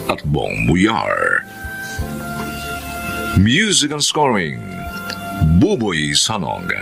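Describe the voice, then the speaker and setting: Deep, gravelly Filipino male announcer. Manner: solemn, theatrical announcing. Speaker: drama narrator and director. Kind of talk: scripted radio drama narration.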